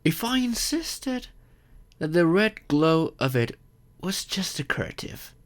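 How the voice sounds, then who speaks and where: Man as a slow-spoken, expressive gentle vampire. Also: a little high. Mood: patient, explaining. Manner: gentle, reassuring, unhurried with thoughtful pauses. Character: courteous, centuries-old wealthy male vampire, gentle and earnest. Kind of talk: scripted audio roleplay, addressing the listener.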